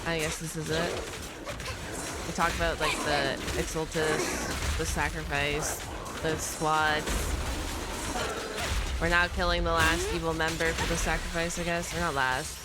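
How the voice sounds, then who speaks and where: A woman's voice: low voice, level tone, a little slow, pauses often. A woman game streamer giving casual, rambling live reaction commentary with slang and swearing. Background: game music.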